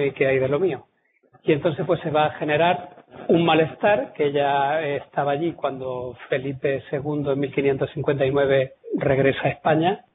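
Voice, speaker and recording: A man with a southern Spanish accent from Córdoba giving a history lecture at an academy, talking conversationally with fillers and restarts. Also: a little high. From Córdoba, Spain. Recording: tinny lo-fi audience recording with faint noise.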